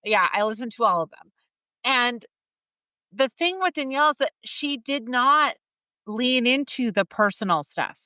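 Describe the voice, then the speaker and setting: A woman in her thirties co-hosting a podcast, talking in an animated, indignant way and laughing often. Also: a little high, unhurried, dry recording. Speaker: podcast co-host. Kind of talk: casual chat.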